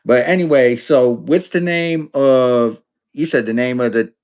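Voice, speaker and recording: A male podcast host in casual talk, drifting from point to point. His voice sits at an ordinary middle pitch, and he stumbles and restarts often as he thinks aloud. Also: unhurried, darkish tone. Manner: loose, rambling, many restarts and fillers. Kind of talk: casual political chat.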